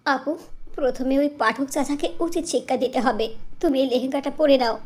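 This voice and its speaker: A young village girl in a cartoon fairy tale, voiced by a woman, pitched high. She is earnest and defiant, a wronged sister who puts strong emotion into what she says. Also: moderate pace, breathy edge. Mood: determined.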